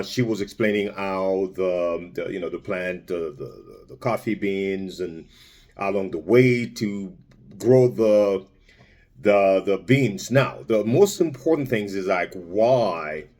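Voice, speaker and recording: Man, from Congo, low voice, casual vlog talk, rambling, stop-start phrasing. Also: slow, a little mellow. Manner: rambling, many restarts and fillers. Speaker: vlogger. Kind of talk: casual spoken commentary.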